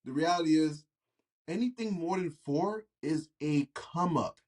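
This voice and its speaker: Excitable man in slangy sports banter. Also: on the high side. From Massachusetts. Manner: excitable, slangy, quick to interrupt. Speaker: podcast host. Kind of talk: casual sports talk.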